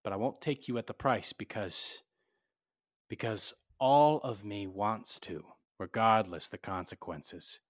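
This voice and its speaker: An actor playing a tough, cynical private detective in 1940s radio drama style, blunt and clipped. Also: male voice, fairly low pitch, a little slow. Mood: grimly resolved. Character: hard-boiled cynical male private detective, tough and unsentimental.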